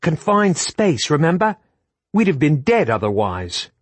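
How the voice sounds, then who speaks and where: A man's voice: fairly high pitch, sing-song, normal speed, no echo, voice pushed up. A male narrator voicing a shaken but defiant young woman soldier who defends herself in clipped, raised lines. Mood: defensive.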